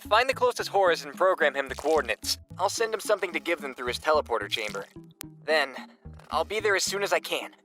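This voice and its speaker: A man playing an earnest, responsible male hero in an animated sci-fi drama, speaking matter-of-factly. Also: fairly high pitch, quick pace, soft background music. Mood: brisk, decisive.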